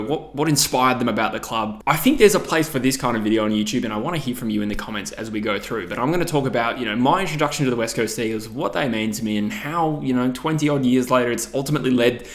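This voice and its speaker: A man of thirty, talking casually to his channel, reflective and full of "you know" fillers. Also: mid-range voice. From Thailand. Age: 30s. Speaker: YouTube channel host. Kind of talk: casual vlog monologue.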